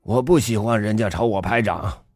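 A man reading a story aloud, voicing a very old waiter who refuses service calmly and stubbornly. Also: lowish voice, moderate pace, no echo, studio quality, soft background music, voice pushed up. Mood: curt. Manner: calm, flat refusals. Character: old stolid male waiter, calm and stubborn.

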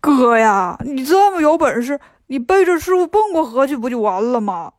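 A woman voicing a clumsy, boastful pig-monk who whines and complains fast in a children's storybook. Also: high voice, sing-song, dry recording, clean studio sound. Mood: wheedling. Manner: whiny, indignant and fast-talking.

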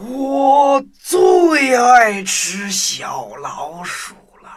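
An actor playing a big, fearsome, hungry monster in a children's audio drama, loud and scornful. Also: mid-range voice, animated, very noisy. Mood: menacing. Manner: loud, slow, menacing.

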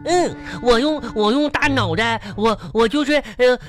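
Woman playing a goofy, high-voiced dim schoolkid. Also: a little slow, lightly acted. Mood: proud, boastful. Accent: Northeastern Chinese. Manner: goofy, hesitant, repeats others' words. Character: dim, boastful, slow-witted young schoolchild. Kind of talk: comedy radio play. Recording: music playing behind the voice.

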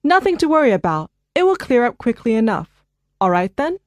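A woman voicing a calm, reassuring family doctor in a scripted listening dialogue. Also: a little high, dry recording, clean studio sound. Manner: clear, measured, reassuring delivery.

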